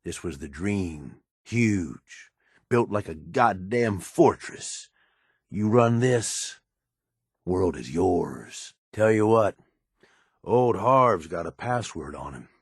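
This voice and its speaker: Cynical raider henchman, low rough voice, slangy drawl, sardonic, video game acting, close dry sound. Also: lively intonation, takes it slowly, no echo, full character voice. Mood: confident, conspiratorial. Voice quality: husky, rough. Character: gruff cynical ruthless male raider henchman in a post-apocalyptic wasteland.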